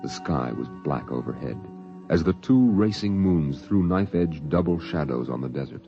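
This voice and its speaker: A man's voice: rumbling low, lively intonation, normal speed, faint music behind. A man narrating a scripted 1950s radio drama in a solemn, dramatic manner. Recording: dull vintage radio recording.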